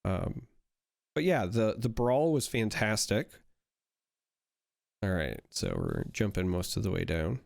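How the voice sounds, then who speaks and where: A man's voice: fairly low pitch, sing-song, slow pace, creaky, pauses often, no room sound, studio quality. A young man chatting casually while opening card packs, rambling with lots of fillers and restarts, his voice still a little worn from being sick. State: recovering from illness, voice not fully back. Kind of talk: casual pack-opening commentary.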